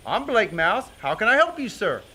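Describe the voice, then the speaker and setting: A man's voice: sits high, sing-song, normal speed, sharp tone, husky, exaggerated voice. A man voicing a big, welcoming male field mouse in a children's story.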